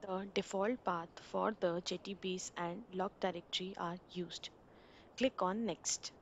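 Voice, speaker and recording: A woman narrating a screen-recorded software installation walkthrough, giving plain step-by-step instructions over a desktop mic. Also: on the low side, fairly even intonation, measured pace, dull audio, a little hiss or hum.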